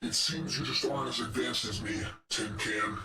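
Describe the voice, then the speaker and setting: A man's voice: medium pitch, normal speed, sharp tone, distant mic, faint music behind. A man voicing a menacing, arrogant robot henchman in a video game, fiery and sadistic, with taunting, condescending lines. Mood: contemptuous. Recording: echoey room, off the microphone.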